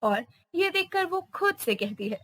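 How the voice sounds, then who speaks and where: A woman's voice: extremely high pitch, very animated, a little slow, fairly bright tone. A woman narrating a story expressively.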